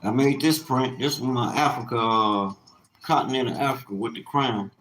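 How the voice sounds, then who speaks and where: A man's voice: mid-range voice, moderate pace, a bit bright. A man in his fifties talking casually on a livestream, colloquial and rambling, often repeating phrases for emphasis. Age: 50s. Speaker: livestreamer, small business owner. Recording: echoey room with faint background noise.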